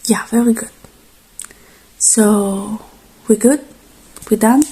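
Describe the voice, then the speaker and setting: Soft, hushed woman doing ASMR roleplay. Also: fairly high pitch, breathy edge, rough recording. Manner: gentle, hushed, halting, reassuring. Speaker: ASMR performer playing a robot repair technician.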